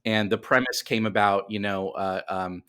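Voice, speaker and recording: Man, lowish pitch, casual interview guest, writer explaining process, halting, self-correcting. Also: fairly even intonation, sharp tone, clear, resonant tone. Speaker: podcast guest, writer of comics and screenplays.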